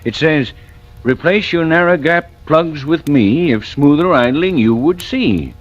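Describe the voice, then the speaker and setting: Good-humored ad-skit sidekick, lively pitch swings, hesitant comic timing, muffled vintage radio. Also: male voice, a little slow. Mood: amused, comic. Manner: hesitant, sing-song when reciting, comic. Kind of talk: 1940s-50s radio ad skit acting.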